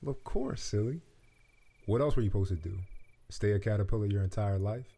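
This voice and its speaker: Man voicing a soft, motherly ladybug. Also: on the low side, a little fast, gravelly, breathy edge. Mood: warm teasing. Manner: tender, affectionate. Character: gentle motherly female ladybug elder.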